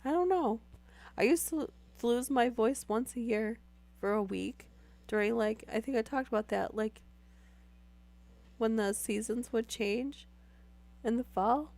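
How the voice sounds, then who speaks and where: Woman streamer losing her voice. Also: on the high side. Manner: chatty, rambling, many fillers. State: losing her voice, sore throat. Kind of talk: casual chat with live viewers.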